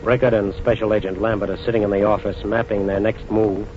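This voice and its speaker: A man's voice: lowish voice, flat delivery, a little fast, a little hiss or hum. A man narrating a scripted 1940s radio crime drama in a solemn, formal manner. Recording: dull 1940s radio recording with music.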